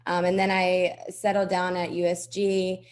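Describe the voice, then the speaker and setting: A woman with a somewhat low voice speaks casually as she introduces herself on a panel. She pokes a little fun at herself now and then. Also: monotone, a little slow. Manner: casual, self-deprecating. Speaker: doctoral student panelist.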